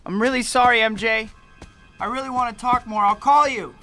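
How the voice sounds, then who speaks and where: A man's voice: very high-pitched, steady tone, moderate pace, sharp tone, faint music behind. A man playing an earnest, awkward young student hero in a video game, boyish and wry. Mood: apologetic. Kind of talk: video game cutscene acting.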